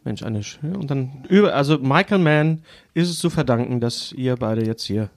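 A man's voice: medium pitch, expressive, moderate pace. A man hosting a casual film and series podcast, talking in a stop-start way as he searches for words. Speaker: podcast host.

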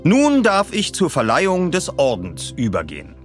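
A man's voice: a little high, huge pitch swings, normal speed, faint music behind, pitched above the performer's own voice. A man voicing a formal dignitary who gives a gushing, ceremonious thank-you speech in an audio story.

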